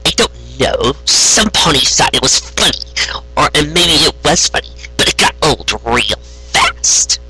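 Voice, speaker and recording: A man's voice: a little high, very animated, measured pace, bright, forceful tone, breathy edge, dry recording, soft background music, pitched above the performer's own voice, heavily acted, rough recording. A man voicing a grumpy, imperious young female unicorn magician in a haughty, insistent manner for a story reading.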